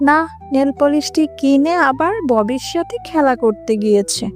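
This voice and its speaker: A woman's voice: high voice, animated, normal speed, a little mellow. A woman voicing a harried, chiding fox mother in a children's cartoon. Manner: chiding, explaining herself. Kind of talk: children's animated fable acting. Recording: music under the voice.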